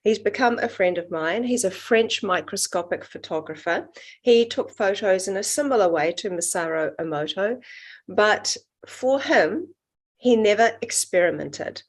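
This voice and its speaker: Kiwi woman guest, mid pitch, calm and earnest, telling how she got started. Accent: New Zealand. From New Zealand. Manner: earnest, measured, reflective storytelling. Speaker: guest, water researcher. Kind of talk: interview answers, explaining.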